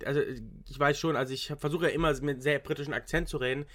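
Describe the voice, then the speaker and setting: A man's voice: fairly high pitch, fairly even intonation, fast, a bit bright. A young man, a university student, chatting casually, rambling with frequent restarts and English slang. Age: young adult. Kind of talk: casual chat.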